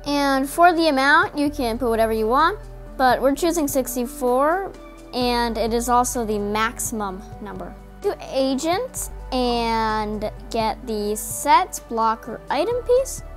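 A woman's voice: a little high, lively intonation, a little slow. A woman narrating a step-by-step coding tutorial in a plain, instructing voice-over. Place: voice-over over screen recording. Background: faint background music.

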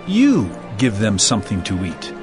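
An actor playing a calm, authoritative male teacher in a dramatized scripture reading, commanding and expressive. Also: lowish voice, moderate pace. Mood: calm authority. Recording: music bed behind voice.

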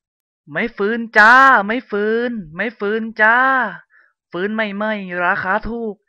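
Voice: medium pitch, fairly even intonation, takes it slowly, no room sound, highs cut off, voice pushed up, natural voice. A narrator doing a sing-song firewood seller. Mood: calling out. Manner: sing-song street calling. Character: village firewood seller, a grown man.

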